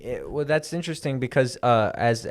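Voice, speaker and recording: A man hosting a comedy podcast and playing along in an improvised bit. He talks haltingly, with many fillers, and keeps a dry straight-man delivery. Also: medium pitch, expressive, slight background noise. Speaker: podcast host.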